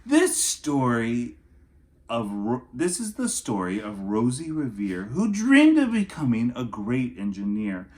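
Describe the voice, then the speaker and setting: Male storybook reader, deliberate and theatrical, highly varied intonation, unhurried delivery. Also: wildly swooping pitch, darkish tone. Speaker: teacher reading a storybook aloud. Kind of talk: expressive children's storybook read-aloud with casual asides.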